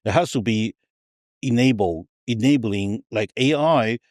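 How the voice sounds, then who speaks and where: A man's voice: medium pitch, unhurried, dry recording, clean studio sound. Middle-aged man. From Taiwan, raised partly in Argentina. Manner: emphatic explainer, frequent checking tags. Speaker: interview guest, tech entrepreneur. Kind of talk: conversational podcast interview.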